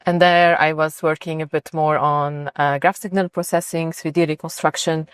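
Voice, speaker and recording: Low-voiced woman from Lebanon, dry close sound. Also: little pitch movement, normal speed, smooth, dry recording. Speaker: interview guest, robotics and AI researcher. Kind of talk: conversational interview answers.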